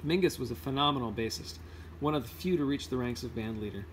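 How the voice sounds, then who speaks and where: A man's voice: mid-range voice, quick pace, a little hiss or hum. A man reading a children's picture book aloud informally, now and then stumbling and correcting himself. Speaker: read-aloud host.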